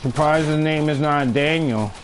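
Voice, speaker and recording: A man's voice: fairly high pitch, normal speed, a little hiss or hum. A young man chatting in a laid-back, slangy and profane way with friends during an online game. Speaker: friend in party chat. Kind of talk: casual gaming chat.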